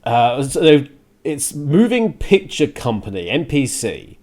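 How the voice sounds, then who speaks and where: A man presents his own radio show and podcast in a casual, unscripted way. His voice sits at a middling pitch. He rambles conversationally, stopping and starting, and leans into opinionated ranting. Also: very animated, measured pace, a little mellow. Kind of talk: casual solo podcast talk, partly ranting.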